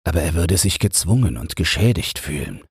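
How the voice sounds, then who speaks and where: A man's voice: deep voice, very animated, a little fast, creaky, husky, no echo, clean studio sound, exaggerated voice. A man voicing an earnest, stubborn young businessman defending himself in an audiobook. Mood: hesitant, explaining.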